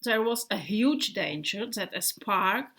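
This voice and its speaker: A woman's voice: medium pitch, lively intonation, slow, fairly bright tone. A woman voicing an earnest male bomber plane in a storybook, vividly telling his friends about a dream. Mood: dramatic.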